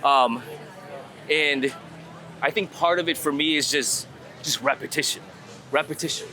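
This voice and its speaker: A man's voice: fairly high pitch, measured pace, bright, forceful tone, soft background music. A man talking casually and informally as a street photographer being interviewed, thinking aloud in a rambling way with repeated phrases. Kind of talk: casual conversational explanation while out shooting. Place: outdoors on a city street. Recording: outdoor city street with traffic noise.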